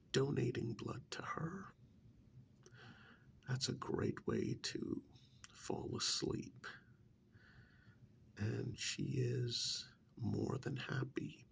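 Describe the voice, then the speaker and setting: A man playing a chatty, gently scolding vampire envoy in a soft-spoken ASMR role-play, with a breathy whisper and deliberate pauses. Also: mid-range voice, heavily acted. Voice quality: breathy whisper, gravelly fry. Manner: slow, deliberate, drawn-out pauses, conversational.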